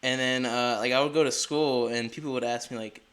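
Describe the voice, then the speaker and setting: 19 yr old guy from delaware, excitable interviewer, says like constantly, average pitch. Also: brisk. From Delaware, USA. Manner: rambling, full of "like", excitable. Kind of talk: casual interview chat.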